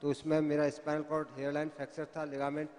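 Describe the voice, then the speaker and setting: A man with a soft-edged, mellow voice, pitched a little high, gives his testimonial. He addresses the guru with deference, and the sound carries the long echo of a hall. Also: completely flat delivery, fast talker. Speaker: camp participant giving a testimonial. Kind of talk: devotional personal testimonial. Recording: reverberant hall.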